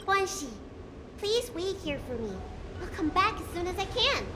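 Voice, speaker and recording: A woman voicing a cheeky, curious young girl dragon healer in a video game cutscene, with a bright girlish voice. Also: very high voice, sing-song, normal speed, heavy background noise. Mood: playful. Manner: playful and teasing. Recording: game audio with loud music.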